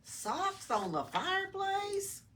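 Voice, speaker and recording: A woman's voice: very high-pitched, big pitch swings, takes it slowly, a bit bright, pitched above the performer's own voice, heavily acted. A woman voicing a curious, bewildered young male pet iguana in a storybook, questioning everything, on a distant mic. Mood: baffled. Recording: distant mic in noisy room.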